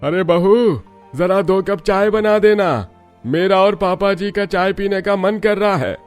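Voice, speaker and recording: A man's voice: high-pitched, measured pace, soft background music. Man playing a plaintive cartoon father-in-law. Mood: requesting. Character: middle-aged father-in-law in a cartoon family. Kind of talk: Hindi cartoon family story voice acting.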